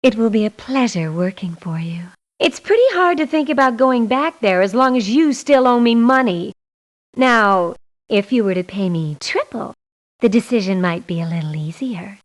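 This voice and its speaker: A woman's voice: medium pitch, expressive, moderate pace, natural voice. An actress playing a sassy, blunt young woman mercenary in a video game, delivering her lines in a curt, theatrical way. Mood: coy. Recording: muffled lo-fi game audio clips.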